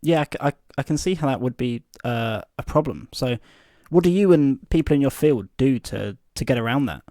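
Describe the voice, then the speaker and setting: Casting: male actor as podcast interviewer, polite, measured, slightly hesitant, soft mellow tone, dry close recording. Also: medium pitch, lively intonation, dry recording, lightly acted. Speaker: podcast host. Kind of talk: fiction podcast interview and narration.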